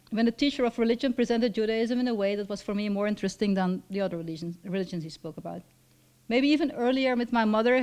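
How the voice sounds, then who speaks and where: A woman in her fifties from Belgium with a Flemish accent, a lecturer reading a personal talk from notes at a lectern, measured and reflective, with frequent ums. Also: mid-range voice, a little mellow. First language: Dutch. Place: lecture hall stage at a lectern. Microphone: stand mic at a lectern.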